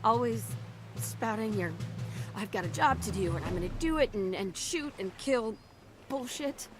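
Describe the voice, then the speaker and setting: An actress playing a feisty young woman resistance fighter in a video game, direct and sharp-tongued. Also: fairly high pitch, animated, moderate pace, over music, lightly acted. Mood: exasperated, angry. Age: young adult. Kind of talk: dramatic video game acting.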